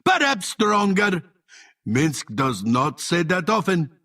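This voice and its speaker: An actor playing a big, simple-hearted heroic ranger in a fantasy game, earnest and boisterous, speaking of himself in the third person. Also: male voice, sits high, big pitch swings, slow pace, pushed and bright, full character voice. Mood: earnest admiration. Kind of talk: cartoonish fantasy game voice acting.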